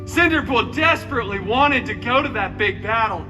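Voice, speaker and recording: A man's voice: extremely high pitch, animated, moderate pace. A man performing comic live storytelling as a costumed theme park entertainer, theatrical and sarcastic, with wry asides. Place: outdoor theme park show. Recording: distant live amplified sound over loud music. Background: music.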